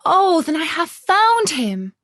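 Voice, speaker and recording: A woman voicing an eager, loyal little girl in a storybook, exclaiming earnestly and excitably. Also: extremely high pitch, slow, no room sound, voice pushed up, heavily acted. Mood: delighted.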